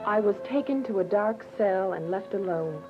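A woman's voice: medium pitch, animated, normal speed, natural voice. A woman playing a devout, earnest young believer, narrating with emotion in a radio drama. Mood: anguished. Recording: muffled audio with music bed.

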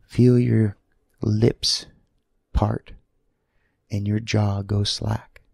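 Slow, low-voiced man guiding meditation. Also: level tone, vocal fry, husky, no room sound. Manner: soothing, gentle, long pauses between short phrases. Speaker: guided meditation leader. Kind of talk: guided sleep meditation.

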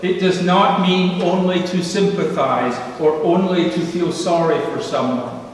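A middle-aged man preaches at a slow, deliberate pace, leaning into repeated phrases. His voice sits a little high for a man and rings through a big, reverberant sanctuary. He is picked up from a distance at the pulpit, with faint room ambience behind. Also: a little hiss or hum. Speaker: pastor. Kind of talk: sermon. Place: church sanctuary, standing at a pulpit. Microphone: pulpit microphone heard from a distant camera. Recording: echoey church hall from far off.

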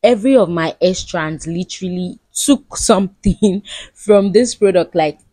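A woman's voice: on the low side, a little slow, warm tone, no room sound. A young woman narrating a vlog voice-over in a chatty way, repeating words for emphasis. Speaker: beauty vlogger.

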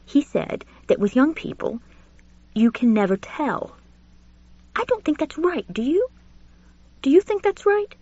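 A woman's voice: high voice, big pitch swings, a little slow, long pauses, mellow, no echo, pitched above the performer's own voice. A woman voicing a courtly, fussy older male classics tutor in an audiobook, lilting and questioning. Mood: fussy, incredulous. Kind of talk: audiobook character voice. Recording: slightly dull audiobook audio.

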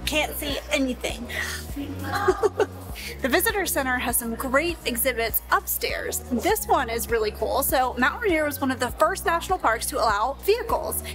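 A cheerful woman in her forties narrates a travel vlog, her voice sitting a little high. She talks in an upbeat, chatty way and laughs easily. Soft music plays faintly behind her outdoors. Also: rough recording. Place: outdoors in a mountain park.

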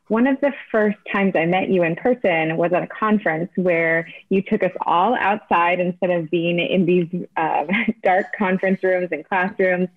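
A woman interviewing a guest over a video call, asking measured, friendly questions. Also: on the low side, no room sound. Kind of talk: conversational interview. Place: home room, video call. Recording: dry, tinny phone-like sound.

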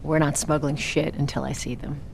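A tough, no-nonsense female smuggler in a game, blunt and all business. Her voice is low for a woman. Game noise is clearly heard behind her. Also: flat delivery, brisk.

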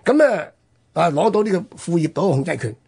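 A man with a Hong Kong Cantonese accent hosting a history talk, telling it conversationally in halting, particle-filled phrases. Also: high-pitched, big pitch swings, creaky, darkish tone, husky. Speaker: radio history programme host.

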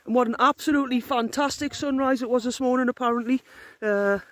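Gruff-voiced woman outdoors. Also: sits high, quick pace, dry recording. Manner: chatty, teasing, laughs often. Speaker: outdoor vlogger. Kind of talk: casual handheld camping vlog. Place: open moorland outdoors. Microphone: phone camera held by the speaker.

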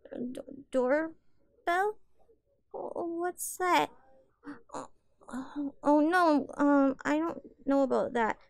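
A woman's voice: high voice, vocal fry, no room sound, studio quality. A woman playing a small, meek, eager-to-please catgirl in an audio roleplay, stammering hesitantly and apologetically. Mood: timid, hesitant. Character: timid, eager-to-please small catgirl, homeless stray kitten. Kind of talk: audio roleplay addressed to the listener.

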